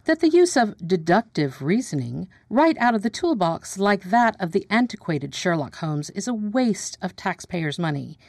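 A woman playing a brisk TV news presenter in a radio drama, formal and pointed in her questioning. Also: mid-range voice, sing-song, a little mellow, no room sound, clean studio sound. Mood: challenging. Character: brisk female TV news presenter turning accusatory interviewer. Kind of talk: radio drama acting, formal broadcast delivery.

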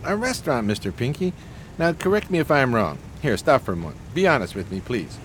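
Male actor as grandiose gentleman tramp, flowery, courteous, lively intonation, medium pitch, 1920s radio play. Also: a little fast, a little hiss or hum, natural voice. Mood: confident, scheming. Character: pompous, genteel homeless schemer of 1920s New York.

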